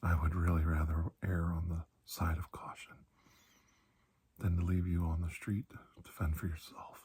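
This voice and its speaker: A man with a low, mellow voice that is heavily husky and breathy. He plays a reassuring doctor in a soft-spoken ASMR roleplay, often sinking close to a whisper. His delivery is slow and calming, with deliberate pauses. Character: calm, reassuring older military doctor treating alien infection. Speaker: ASMR performer playing a doctor.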